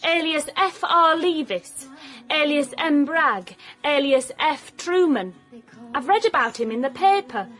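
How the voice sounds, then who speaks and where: High-voiced cheeky trainee sleuth. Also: female voice, lively intonation, a little slow, fairly bright tone, soft background music. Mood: keen. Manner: pert, sarcastic banter. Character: cheeky, sharp young female detective's trainee assistant. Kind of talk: radio comedy-drama.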